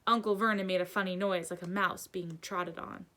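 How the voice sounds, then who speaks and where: A woman reading a children's story aloud as a teacher would, in expressive narration. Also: lowish voice, normal speed.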